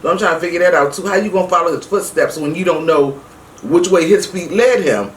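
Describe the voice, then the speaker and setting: A middle-aged woman telling a personal story casually to her viewers, emphatic and animated, acting out the dialogue as she goes. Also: lowish voice, a little fast. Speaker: vlog host. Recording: roomy, distant mic with faint noise.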